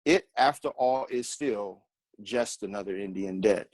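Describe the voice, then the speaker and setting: A man's voice: on the low side, a little slow, no echo, poor audio. A man, an actor, reading a written memorial piece in a solemn, dramatic style.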